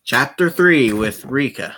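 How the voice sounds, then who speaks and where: Bright, forceful voice of an unhurried game streamer. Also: mid-range voice, expressive, a little hiss or hum. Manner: informal, sweary. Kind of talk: casual live stream, reading visual novel dialogue aloud.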